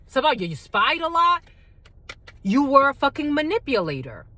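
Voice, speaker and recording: A woman's voice: sits high, huge pitch swings, normal speed, sharp tone. A woman whose first language is Spanish gives a casual tarot reading. She talks bluntly, swears freely, and calls her viewers "my lovies". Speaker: tarot reader.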